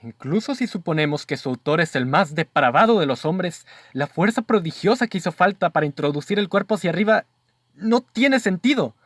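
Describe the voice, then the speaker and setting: A man's voice: sits high, animated, a little fast, dry recording. An actor playing a doubtful male companion in a radio drama, questioning the case in a formal, earnest way. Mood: reasoning.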